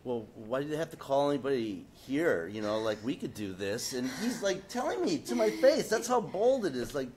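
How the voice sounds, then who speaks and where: A man's voice: medium pitch, very animated, moderate pace, hardly any pauses, darkish tone, a little hiss or hum. A man on a discussion panel telling a casual, rambling anecdote full of fillers, getting indignant as he goes.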